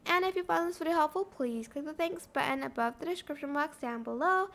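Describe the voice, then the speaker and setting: A woman giving a casual software tutorial as a channel host, talking viewers through the steps. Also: sits high, brisk, vocal fry.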